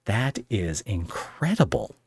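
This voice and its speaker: A man hosting a podcast, chatting casually with a guest in halting, searching phrases that often turn into questions. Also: lowish voice, big pitch swings, gravelly, breathy edge, no room sound, studio quality. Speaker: podcast host interviewing a guest. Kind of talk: casual interview chat.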